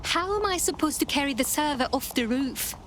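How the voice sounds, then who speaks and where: A woman's voice: high voice, animated, a little fast, fairly bright tone, a little hiss or hum. A woman playing a practical hacker operative on a mission in a video game. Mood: businesslike, questioning. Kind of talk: scripted video game dialogue.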